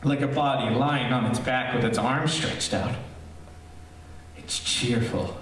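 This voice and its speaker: A man playing a lonely, unhinged radio-drama character who rambles haltingly and repeats himself. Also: mid-range voice, steady tone, fairly bright tone. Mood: wistful, rambling. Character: lonely, unhinged man addressing listeners, sliding from wistful to hysterical. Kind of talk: theatrical old-time radio drama acting. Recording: very echoey stage, distant mic, faint noise.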